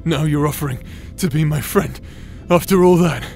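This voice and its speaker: A man acting as a bitter, broken warrior in a dramatic audio roleplay, raw and halting in his delivery. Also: fairly high pitch, darkish tone, soft background music, natural voice. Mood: wounded, bitter. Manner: anguished, halting, emotionally raw.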